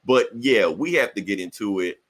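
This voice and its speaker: A man's voice: on the low side, lively intonation, normal speed. A man co-hosting a podcast, talking casually, rambling and swearing often, with frequent laughs. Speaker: podcast co-host. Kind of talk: casual profane chat.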